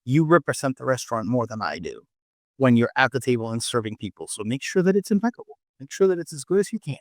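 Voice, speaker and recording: A man's voice: medium pitch, big pitch swings, fast, no room sound, clean studio sound. A man from Mexico City with a Mexican accent and Spanish as his first language, answering interview questions conversationally as a restaurant owner and telling anecdotes. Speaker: restaurant owner and chef, podcast guest.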